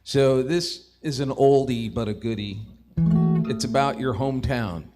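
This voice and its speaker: Male singer-songwriter, unhurried and halting, casual stage talk, prominent guitar underneath. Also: medium pitch. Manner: hesitant, halting delivery. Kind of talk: casual talk between songs. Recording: loud acoustic guitar behind. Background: guitar playing.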